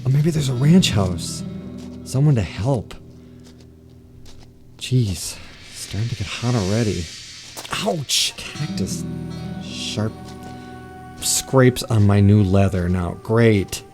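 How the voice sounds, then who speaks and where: An actor playing a henpecked, exasperated husband in an audio drama, grumbling in short bursts. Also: male voice, fairly low pitch, expressive, slow, long pauses, music in the background. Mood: grumbling, puzzled.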